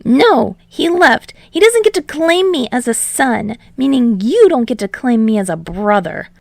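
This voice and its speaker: Woman voicing a high-pitched, exasperated teenage boy. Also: sing-song, a little slow, creaky, a little mellow, no room sound, full character voice. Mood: defiant, sarcastic. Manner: whiny, indignant, sarcastic. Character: exasperated, frazzled teenage boy hero, snarky and dramatic. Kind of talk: audiobook character voice for fan fiction.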